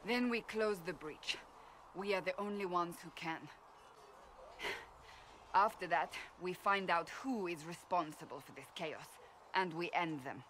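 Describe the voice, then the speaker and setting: A stern fantasy warrior woman for a game. She is devout and blunt, weighing her words with grave force. Faint background noise sits behind her. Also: on the low side. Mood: grimly resolute. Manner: grave, forceful, deliberate phrasing. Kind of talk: video game cutscene acting.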